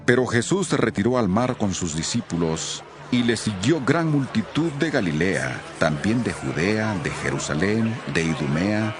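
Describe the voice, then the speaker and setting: A man's voice: fairly low pitch, expressive, measured pace, hardly any pauses. A man narrating scripture from a script in the role of storyteller. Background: background music.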